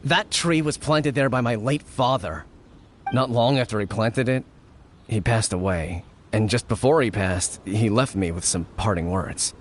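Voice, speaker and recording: A man's voice: on the low side, a little slow, a little hiss or hum, close to an everyday voice. A man voicing an earnest, apologetic young villager in a video game, pleading and at times tripping over his words.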